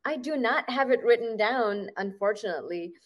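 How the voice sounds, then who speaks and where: A woman's voice: on the high side, animated, moderate pace. Woman hosting a video-call interview. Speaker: host. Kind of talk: remote interview. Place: small home room.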